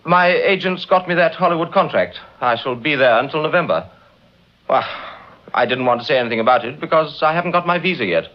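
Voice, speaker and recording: An actor playing a guarded, wry playwright in a mid-century radio drama, clipped and politely sardonic. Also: male voice, fairly high pitch, lively intonation, brisk, close to an everyday voice. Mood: defensive. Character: guarded, wry English playwright with a touchy streak. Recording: dull, muffled vintage radio recording.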